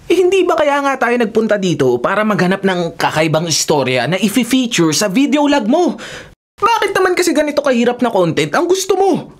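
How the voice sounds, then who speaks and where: A man's voice: very high-pitched, a little fast. An actor playing a teasing, chatty young man, a vlogger's best friend in a playful radio drama style. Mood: teasing, complaining. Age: young adult. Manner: expressive, playful, mixes in English. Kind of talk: Tagalog radio drama acting. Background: music.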